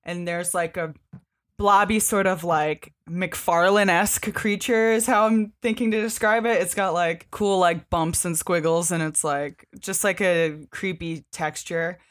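A woman's voice: lowish voice, fairly even intonation, unhurried. A woman co-hosting a podcast, chatting casually and enthusiastically about a comic, with loose, sweary phrasing. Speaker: podcast co-host. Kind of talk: casual comic book discussion.

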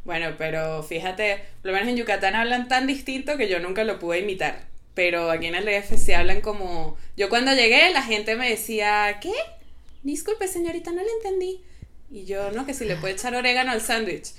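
A woman's voice: mid-range voice, brisk, slight background noise. A Venezuelan woman with a Venezuelan Spanish accent, chatting casually and telling stories full of mimicked voices and laughter. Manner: animated storyteller, mimics other accents, laughs often. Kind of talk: casual chat.

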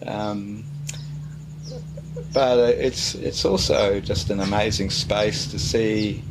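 Middle-aged man, pastor, low-ish voice, unhurried and hesitant, faint background hiss. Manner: relaxed, hesitant with many fillers. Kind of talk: casual interview answers.

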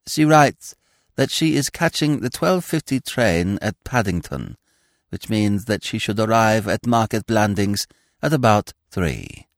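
A man's voice: on the low side, animated, unhurried, no room sound, clean studio sound. Man voicing prim efficient secretary, precise, businesslike. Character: efficient, stiff male secretary.

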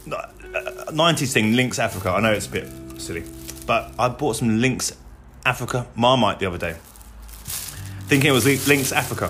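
A man from Britain hosts his own podcast in a loose, rambling chat, often joking at his own expense and swearing freely. His voice sits at an ordinary middle pitch for a man. Music plays clearly underneath him the whole time. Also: lively intonation, brisk, fairly bright tone. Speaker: podcast host. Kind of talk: casual solo podcast chat.

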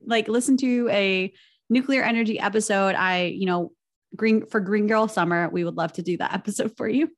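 A woman's voice: mid-range voice, moderate pace. Chatty woman co-hosting a podcast. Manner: chatty, animated, many "like" fillers, laughs often. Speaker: podcast co-host. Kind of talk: casual podcast chat.

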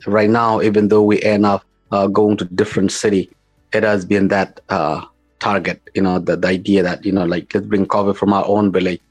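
A man with a South Sudanese accent answering interview questions as a company founder, in informal, halting, repetitive phrasing. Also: on the low side. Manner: informal, repetitive, halting phrasing with non-standard English grammar.

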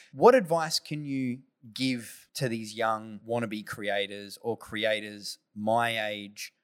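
A man hosting a podcast, asking questions in a relaxed, conversational way. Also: fairly low pitch, takes it slowly, clear, resonant tone. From Australia. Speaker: podcast host. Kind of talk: casual interview.

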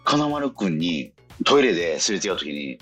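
Male radio co-host, extremely low voice, informal and chatty, faint music underneath. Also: sing-song, moderate pace, a bit bright, breathy edge. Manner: chatty, informal, frequent short backchannel replies. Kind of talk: casual radio chat. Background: background music.

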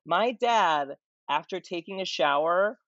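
A man's voice: high-pitched, slow, dry recording. A man chatting casually and telling stories, with many fillers and restarts. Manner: relaxed, storytelling with lots of fillers. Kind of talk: casual chat. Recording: dull, dry sound.

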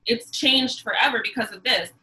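A woman's voice: fairly high pitch, moderate pace, sharp tone, low-quality recording. A woman in her twenties, a podcast guest, giving frank and emphatic opinions in casual chat. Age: 20s.

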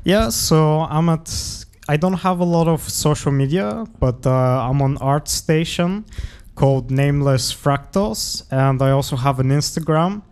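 A young man from Bulgaria talks as a guest in a casual interview. His voice is smooth and resonant, and he often hesitates and trails off in a self-effacing way. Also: on the high side, a little slow, a little mellow. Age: young adult. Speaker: guest artist.

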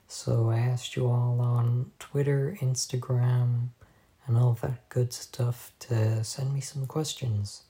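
A hoarse man hosting a casual solo podcast at home, soft-spoken and halting, recorded on a phone voice memo. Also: mid-range voice. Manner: soft-spoken, halting, drifting off mid-sentence. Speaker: podcast host.

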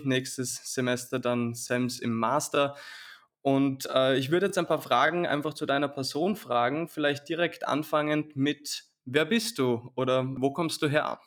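A man's voice: medium pitch, fairly bright tone. A young man in his twenties, a university student hosting an interview, who asks questions in a polite and slightly formal way.

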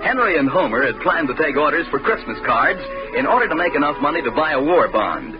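A man's voice: on the high side, sing-song, a little fast. A man delivering scripted narration as an announcer in 1940s radio style. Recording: muffled old radio recording. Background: music.

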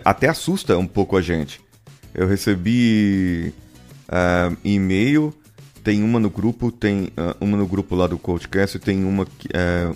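A man with a fairly low voice and a Brazilian Portuguese accent talks on his podcast in a loose, conversational way. He often asks his co-hosts questions, and faint music plays underneath. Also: darkish tone. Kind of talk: casual podcast discussion.

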